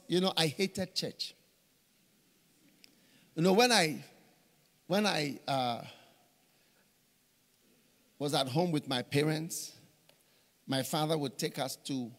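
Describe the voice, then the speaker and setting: A middle-aged man preaching at a conference on a stage, telling stories with many repeated phrases, speaking into a handheld mic. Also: a little high. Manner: animated storytelling with repeated phrases. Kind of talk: conference sermon. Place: large church stage with hall reverb. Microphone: handheld microphone. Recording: reverberant hall.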